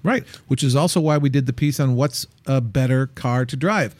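A man's voice: medium pitch, moderate pace, a little mellow, dry recording. A man hosting a radio travel show, upbeat and joking, who often restarts his questions. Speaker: host.

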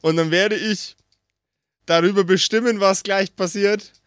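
A man's voice: high voice, steady tone, a little slow, a bit bright, clear, resonant tone, dry recording, clean studio sound. A man acting as game master, casually improvising a tabletop game and often searching for his words.

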